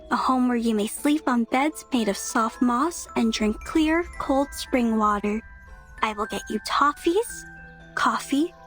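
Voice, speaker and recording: Game role: lonely, clingy forest girl, high childlike voice, wistful pleading, music underneath. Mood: eerie, tender. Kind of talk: video game voice acting.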